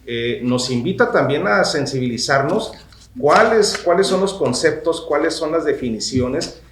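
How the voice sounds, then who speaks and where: A man's voice: fairly high pitch, expressive, measured pace, reverberant, slight background noise. A man, a podcast co-host speaking formally to listeners, with hesitant restarts. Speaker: podcast co-host, university professor. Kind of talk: panel discussion on a podcast.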